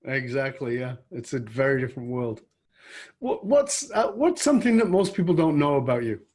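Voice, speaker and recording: A man's voice: medium pitch, very animated, normal speed, a little mellow. A man hosting a podcast interview who reacts with enthusiasm and keeps up a stream of short affirmations. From outside the United States. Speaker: podcast host. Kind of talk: interview host, conversational.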